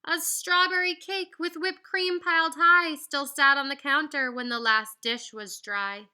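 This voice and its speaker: A woman reading a children's picture book aloud in an animated, singsong storyteller's way, with teacherly asides. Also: sits high, a little slow.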